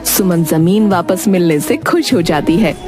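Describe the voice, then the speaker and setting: A woman tells a scripted cartoon story as narrator. Her delivery is very animated in pitch, and music plays clearly behind her. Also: a little slow.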